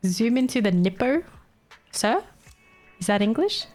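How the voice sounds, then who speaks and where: A woman's voice: fairly high pitch, sing-song, measured pace, a little mellow, faint music behind. Giggly Filipino-accented young woman streamer. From Philippines. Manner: laughs often, teasing and playful with chat. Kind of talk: casual live-stream chat.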